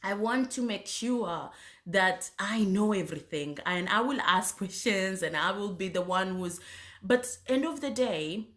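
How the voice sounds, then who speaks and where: A woman from Zanzibar tells her own story, hesitating often and restarting her sentences. She keeps clearing her throat and coughing between phrases. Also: mid-range voice, expressive, fairly bright tone. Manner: halting, many fillers and restarts, frequent throat clearing. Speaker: speaker sharing her faith story. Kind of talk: personal testimony.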